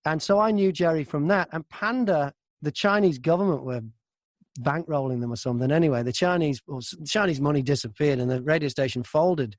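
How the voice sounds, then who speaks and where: A middle-aged man, a broadcaster and narrator, telling stories in a casual, animated, wry interview style. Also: a little high, no echo. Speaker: audiobook narrator and radio broadcaster.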